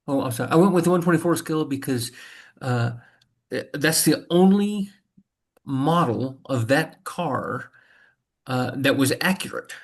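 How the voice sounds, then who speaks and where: A man who is a screenwriter, talking informally and haltingly in a feedback discussion about his work. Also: mid-range voice, animated.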